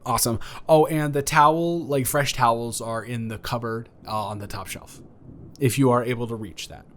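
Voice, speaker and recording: A man's voice: medium pitch, steady tone, moderate pace, natural voice. A man playing a flirty, awkward, kind neighbor in an improvised roleplay audio, stammering, rambling and correcting himself in a casual conversational way. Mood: flustered, awkward.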